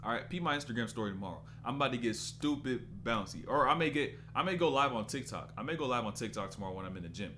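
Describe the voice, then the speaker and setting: A man's voice: mid-range voice, lively intonation, quick pace, few pauses, sharp tone, slight background noise. A man in his twenties doing casual live-stream basketball commentary, loose and rambling as he stops to answer chat. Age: 20s.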